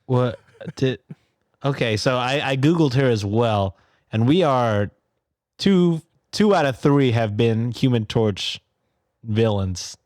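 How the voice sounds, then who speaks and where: A man's voice: medium pitch, animated, slow, a little mellow, no room sound, clean studio sound. A man co-hosting a podcast in a casual, wisecracking way. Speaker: podcast co-host. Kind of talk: casual chat.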